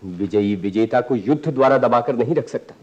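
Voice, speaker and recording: A man's voice: mid-range voice, lively intonation, fairly quick, natural voice. An actor playing an earnest, idealistic young man in a radio play, speaking in lofty, poetic, declamatory lines. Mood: impassioned. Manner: lofty, declamatory, literary diction. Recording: dull archival radio recording.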